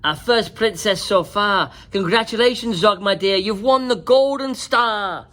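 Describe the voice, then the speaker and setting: A woman voicing a bossy female dragon teacher from a children's storybook, with a booming, commanding delivery. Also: measured pace, fairly bright tone, natural voice. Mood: proudly congratulating. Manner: booming, imperious schoolteacher delivery.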